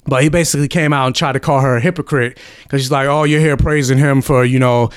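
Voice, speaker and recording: A man chatting casually on a podcast, retelling a news story and reading quotes out slowly, often trailing off and restarting. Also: mid-range voice, fairly even intonation, clear, resonant tone, no echo, clean studio sound. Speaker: podcast co-host.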